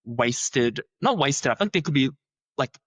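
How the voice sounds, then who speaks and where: A young man who is a researcher, talking in a chatty, animated way and filling his sentences with "like.". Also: unhurried, no room sound. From Australia. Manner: chatty, many "like" fillers, lively swings in pitch. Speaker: researcher, podcast guest. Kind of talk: casual conversational interview.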